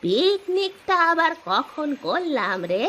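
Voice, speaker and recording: A puzzled old granny from a village cartoon , as acted by a woman. She questions in a querulous, drawn-out way with animated pitch, against noisy ambience. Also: exaggerated voice. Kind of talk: cartoon voice acting.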